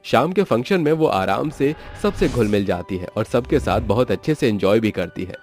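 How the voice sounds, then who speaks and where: A man's voice: on the low side, expressive, normal speed, a little mellow, soft background music. A man narrating a scripted story as the storyteller.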